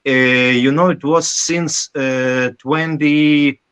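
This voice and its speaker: A man from Ukraine, a guest musician, answering interview questions in halting with many pauses. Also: a little high, steady tone, clear, resonant tone. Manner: halting non-native English with many pauses.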